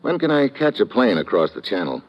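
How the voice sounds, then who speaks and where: A sardonic American male investigator, telling and acting an old radio adventure. His voice is deep and gravel-edged yet lively, clipped and unflappable, on a muffled vintage recording. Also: brisk. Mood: wry, serious. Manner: laconic, sardonic, clipped present-tense storytelling.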